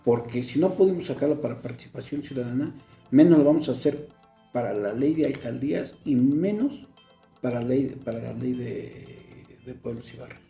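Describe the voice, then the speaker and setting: Gravelly Mexican politician in a roomy space. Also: male voice, medium pitch, normal speed, mellow, faint music behind. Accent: Mexican Spanish. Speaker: local legislator as guest. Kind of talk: political conversation. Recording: roomy echo.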